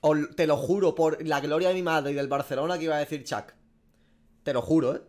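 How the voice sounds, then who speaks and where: A man's voice: fairly high pitch, steady tone, a little fast, a little mellow. A man in his twenties with a Spanish accent from Spain, a streamer casually reacting aloud with slang and swearing. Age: 20s. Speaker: gaming streamer. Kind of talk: casual reaction commentary over a quiz. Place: small room at a desk, indoors.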